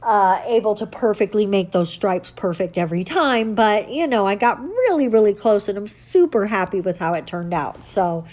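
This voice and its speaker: A woman's voice: mid-range voice, moderate pace, hardly any pauses, a little mellow. A woman with a stuffy nose from allergies, hosting her nail art channel in an upbeat, chatty tutorial style. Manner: chatty, upbeat, self-correcting. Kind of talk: casual tutorial chat.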